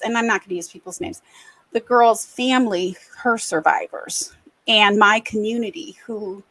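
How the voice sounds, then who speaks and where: A middle-aged woman from the American Midwest, hosting a podcast and speaking candidly and reflectively, often pausing and restarting. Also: mid-range voice, fairly bright tone, no room sound, poor audio. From Racine, Wisconsin, USA. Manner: earnest, reflective, halting. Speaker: podcast host. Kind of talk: candid personal conversation.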